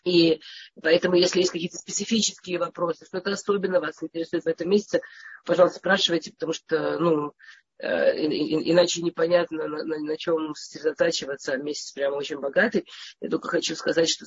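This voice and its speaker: A woman's voice: deep voice, level tone, quick pace, slightly rough, muffled recording. A woman with a cold giving an informal religious lesson, often stammering and restarting as she talks. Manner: hesitant, with frequent stammers and restarts. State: has a cold. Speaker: teacher.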